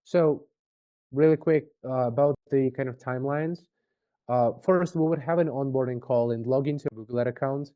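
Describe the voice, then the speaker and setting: A man making a conversational sales pitch on an online call, informal and often checking in with questions. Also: moderate pace, clear, resonant tone, no room sound, lo-fi sound. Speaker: sales representative for a marketing agency.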